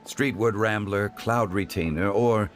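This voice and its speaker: A man playing a wise, courteous immortal in a video game, speaking in a formal, measured, scholarly way. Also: lowish voice, faint music behind, natural voice. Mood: reflective. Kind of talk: video game cutscene acting.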